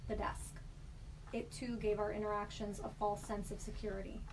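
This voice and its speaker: A woman in a studio reading a personal story aloud in a measured, deliberate way. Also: medium pitch, little pitch movement. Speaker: storyteller guest. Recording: slightly distant mic with faint background hiss.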